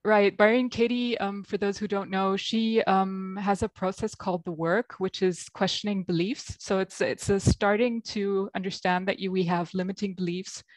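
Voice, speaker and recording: A woman's voice: mid-range voice, steady tone, moderate pace, few pauses, no room sound, low-quality recording. A woman from Belgium with an American accent, a guest coach on a video call, talking reflectively with many ums. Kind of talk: interview over video call. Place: home room.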